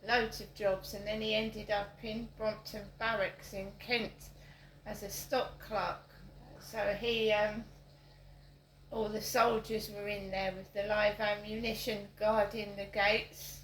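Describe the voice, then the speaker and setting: A middle-aged woman chatting casually in a vlog, meandering slowly with many ums and restarts. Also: medium pitch, flat delivery, fairly bright tone, echoey room, slight background noise, poor audio. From South East London. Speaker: vlogger. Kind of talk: casual rambling vlog monologue. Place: outdoors on a walk with a dog.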